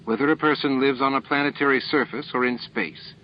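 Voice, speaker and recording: A man's voice: medium pitch, steady tone, brisk, dull audio, slight background noise, voice pushed up, natural voice. Man as a mild, composed alien woman officer. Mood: mildly challenging. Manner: mild, questioning.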